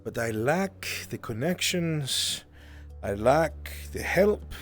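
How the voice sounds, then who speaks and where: The earnest stranger in a fantasy tavern who leans in and weighs each word with long pauses, music playing underneath, acted by a man. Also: mid-range voice, lively intonation. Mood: sorrowful, earnest. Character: grave, earnest man pleading for a lost enslaved friend. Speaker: roleplayer in a live VR fantasy roleplay. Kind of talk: in-character improvised fantasy acting.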